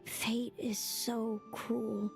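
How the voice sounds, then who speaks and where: A woman's voice: fairly high pitch, level tone, drawn-out words, gravelly, exaggerated voice. An actress playing a solemn, fatalistic young female seer in a video game, with formal, grave, dramatic phrasing. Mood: sad, resigned. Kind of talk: video game character acting. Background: faint game music.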